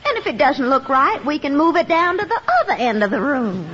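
A fluttery, flirtatious widow, coaxing and scolding in a high, sing-song voice. The muffled sound of a 1950s radio broadcast, with faint noise behind. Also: female voice, big pitch swings, fairly quick, a bit bright. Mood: coquettish. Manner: coquettish, fluttery. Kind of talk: 1950s radio sitcom acting.

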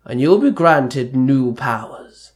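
A man voicing a grave, commanding ancient spirit god in a story reading, with slow, portentous delivery. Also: mid-range voice, lively intonation. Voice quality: bellowing. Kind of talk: amateur fan-fiction story reading.

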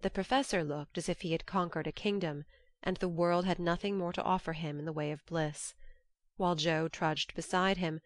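A woman's voice: fairly low pitch, steady tone, normal speed, dry recording, clean studio sound. A woman reading scripted literary narration as an audiobook narrator.

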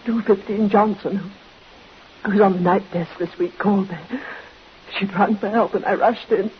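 A woman's voice: medium pitch, fairly even intonation, unhurried, close to an everyday voice. A woman playing an anxious, proper nurse in vintage radio drama, formal and earnest. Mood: grieving, shaken. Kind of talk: 1950s radio drama acting. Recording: dull old radio recording with hiss.

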